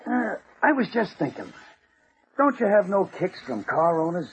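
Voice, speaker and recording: Grumbling thug henchman, highish lively voice, rough slang, vintage radio crime play, slight noise. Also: normal speed, lo-fi sound. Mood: uneasy. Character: tough, grumbling male henchman, quick to threaten. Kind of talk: 1940s radio acting. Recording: noisy old radio recording.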